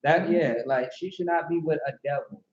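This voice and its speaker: Man, scripture teacher, emphatic, repetitive, slightly high voice, roomy dull recording. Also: moderate pace, over a noisy crowd. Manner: emphatic, repetitive, asks "see what I'm saying". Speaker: class instructor.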